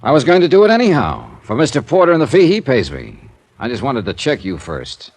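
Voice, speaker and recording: An actor playing a tough, wry American insurance investigator in 1950s radio style, dry, blunt and clipped. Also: male voice, medium pitch, wildly swooping pitch, a little fast, dry recording. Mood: blunt, firm. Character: tough, wry freelance insurance investigator, male, narrating his cases. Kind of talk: 1950s radio detective acting, clipped hard-boiled narration.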